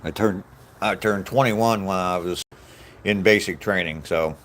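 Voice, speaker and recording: Deep-voiced guy in his 60s from the states, chatting with his chat on a walk, laid-back and chuckly. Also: unhurried, a bit bright, slight background noise. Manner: reminiscing, easygoing, chuckles often, trails off with "so". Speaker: vlogger answering live chat. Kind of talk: casual rambling chat. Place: outdoors on a walk.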